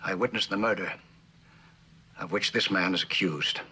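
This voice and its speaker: A low, halting, earnest company man. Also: bright, forceful tone, breathy edge, heavily acted. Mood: halting, grave. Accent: American. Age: middle-aged. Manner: halting, deliberate, sober. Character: earnest, conscience-stricken company man testifying for another. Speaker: actor playing a witness. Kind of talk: 1960s television drama acting.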